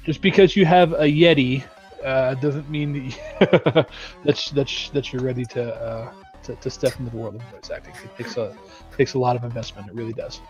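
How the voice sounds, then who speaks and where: A man's voice: mid-range voice, fairly even intonation, normal speed, darkish tone, lo-fi sound, soft background music. A man giving informal advice as an audio lead on a live stream, stressing points by repeating words.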